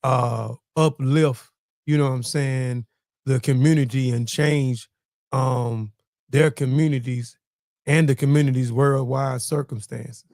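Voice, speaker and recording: A male podcast host with a mid-range voice and a dry, close sound. He puts his questions in a measured way, with a few fillers. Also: medium pitch, steady tone, gravelly, a little mellow, no echo. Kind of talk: interview conversation.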